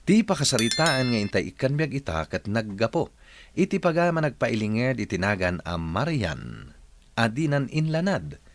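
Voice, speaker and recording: A man's voice: mid-range voice, very animated, normal speed, a little hiss or hum. A man with a Filipino accent narrating and hosting a radio drama with scripted, dramatic delivery.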